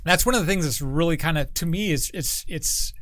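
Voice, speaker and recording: A man's voice: fairly high pitch, brisk, a bit bright, no echo. A middle-aged man chatting casually as a guest on a livestream, rambling and thinking aloud as he goes. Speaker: guest, tabletop game creator.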